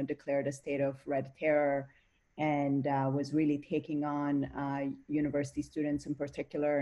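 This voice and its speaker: A woman's voice: sits low, dark tone. A woman from Ethiopia, a guest in an interview, speaking thoughtfully with many fillers. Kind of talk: reflective interview talk.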